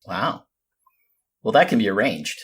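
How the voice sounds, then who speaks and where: A man's voice: on the low side, sing-song, a little slow, breathy edge. A man hosting a podcast, chatting casually with a dry, teasing manner. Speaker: podcast host. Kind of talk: casual chat.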